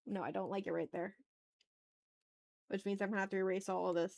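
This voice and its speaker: A woman's voice: mid-range voice, little pitch movement, a little fast, creaky, darkish tone. A woman livestreaming as she draws, chatting casually in slang and muttering to herself with playfully dramatic, exasperated asides. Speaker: art livestreamer, host.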